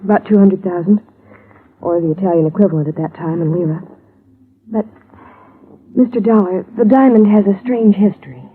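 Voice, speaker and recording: A woman's voice: mid-range voice, normal speed, long pauses, a little hiss or hum, natural voice. An actress playing a grieving, polite young woman in a 1950s radio drama, earnest and subdued. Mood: earnest, sad. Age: young adult. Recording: muffled, tinny old radio recording.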